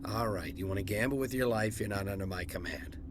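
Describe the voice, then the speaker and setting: A lowish male voice over clear noise, an actor as a security commander in a sci-fi game. He is gruff yet concerned, blunt and authoritative. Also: quick pace, lightly acted. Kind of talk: video game dialogue acting.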